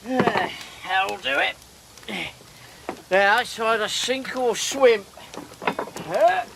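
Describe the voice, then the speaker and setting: An actor playing a rough, blunt boat mechanic in a radio drama. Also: male voice, very high voice, animated, extremely slow, noisy background, heavily acted. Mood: determined. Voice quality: coarse working-class speech, blunt and teasing. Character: rough cockney boat mechanic, gruff but fond.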